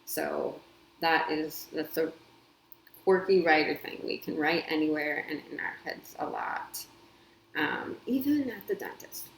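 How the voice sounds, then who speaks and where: Woman, thirties, low pitch, echoey room; casual vlog chat, relaxed, filler-heavy. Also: unhurried, vocal fry, slight background noise. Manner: chatty, frequent ums and small laughs. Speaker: copywriter and editor. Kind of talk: casual talk to camera. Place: home. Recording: echoey room with faint ambience.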